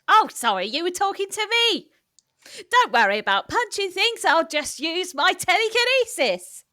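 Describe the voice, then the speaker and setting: Woman as a bright, high, breezy telekinetic. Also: sing-song, moderate pace, no room sound, studio quality, heavily acted. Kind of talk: improvised audio comedy roleplay.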